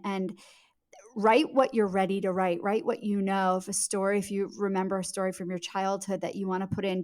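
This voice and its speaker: A woman's voice: medium pitch, darkish tone. A middle-aged woman speaking as a guest author in a podcast interview, explaining things in an emphatic, conversational way.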